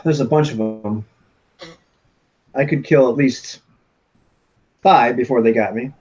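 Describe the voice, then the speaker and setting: A man playing a tabletop game, talking quickly and eagerly. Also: mid-range voice, darkish tone, highs cut off. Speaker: player. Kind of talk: casual tabletop play.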